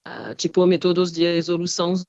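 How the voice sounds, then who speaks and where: A woman's voice: lowish voice, a little fast, creaky, darkish tone, muffled recording. A woman from France speaking Brazilian Portuguese with a French accent, giving a hesitant panel presentation over a remote call, full of fillers and restarts. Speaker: panel speaker, design school head. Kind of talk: halting presentation in a second language. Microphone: remote video call.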